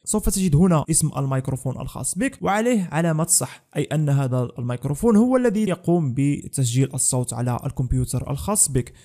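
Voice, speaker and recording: A man's voice: on the high side, animated, quick pace, hardly any pauses, dark tone. A young man narrating a scripted tech tutorial as the host of a channel.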